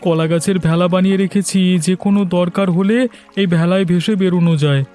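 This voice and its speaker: A man's voice: high-pitched, level tone, fast talker, mellow, faint music behind, lightly acted. A man voicing a reassuring, confident and resourceful village husband in a cartoon story. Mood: practical.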